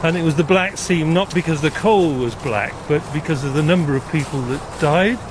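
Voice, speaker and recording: An older man from South Wales, explaining things in a measured way as an interview guest. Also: on the high side. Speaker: interview guest, retired nuclear engineer. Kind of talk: explanatory conversation. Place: outdoors walking in a gorge. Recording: outdoor recording with clear traffic noise. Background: road traffic and outdoor ambience.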